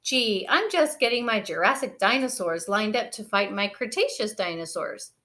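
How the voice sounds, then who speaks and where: A storybook boy bear cub, put on by a woman reader, stubborn and full of protest. He whines and argues with a lively, swooping pitch. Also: normal speed. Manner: protesting, argumentative. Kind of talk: children's picture-book read-aloud.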